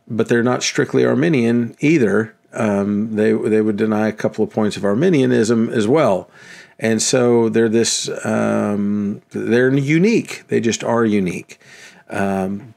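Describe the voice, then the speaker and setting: Host, fifties man, low gravelly voice, halting unscripted talk to webcam at home. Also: darkish tone. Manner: hesitant, many ums and restarts. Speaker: religious video host. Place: small home room with lamps and shelves.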